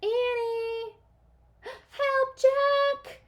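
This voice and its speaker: A woman voicing a squeamish young girl from a storybook, with loud, shrill exclamations. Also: little pitch movement, extremely slow, full character voice. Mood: calling for help.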